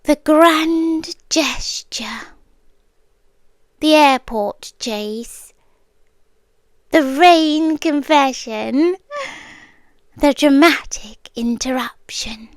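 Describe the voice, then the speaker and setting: A British woman in an ASMR roleplay, voicing an obsessive young woman who has kidnapped the man she loves. She speaks in soft whispers and muses slowly and calmly. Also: high voice, no room sound, clean studio sound, heavily acted. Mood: brooding. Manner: soft whispering, slow musing monologue.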